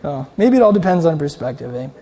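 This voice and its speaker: High-voiced, mellow man preaching. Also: big pitch swings, fast talker. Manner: colloquial, earnest, checking in with listeners. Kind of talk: informal, conversational sermon. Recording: long hall reverb.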